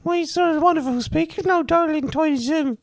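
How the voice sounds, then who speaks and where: An outspoken pantomime wife with a Northern Irish accent, acted by a man. The voice is pitched way up, fond and comic, recorded close with hardly any echo. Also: brisk. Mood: fond, cheery. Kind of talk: comic amateur pantomime acting.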